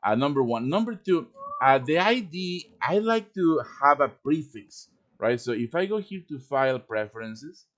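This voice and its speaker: A man presenting an informal live software tutorial, explaining things step by step with casual asides. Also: a little high, expressive, moderate pace. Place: live-streamed voice chat with screen share.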